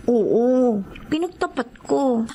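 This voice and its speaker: High-voiced, kind young single mother. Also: lively intonation, takes it slowly, warm tone, lo-fi sound, slight background noise. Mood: frank, pleased. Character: Tina, kind honest young single mother, guarded about men. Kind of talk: Tagalog radio drama acting.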